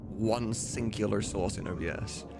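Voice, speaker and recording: A man's voice: on the low side, normal speed. A man chatting casually as a livestreamer while he works, sometimes muttering distractedly and swearing. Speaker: streamer and game modder. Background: music, computer keyboard typing.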